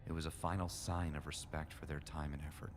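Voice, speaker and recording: A man playing a grieving, embittered immortal warrior who narrates a dramatic audio drama in a brooding, intense, breathy way. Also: very deep voice, little pitch movement, a little fast, background noise. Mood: brooding, wistful. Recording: loud music underneath.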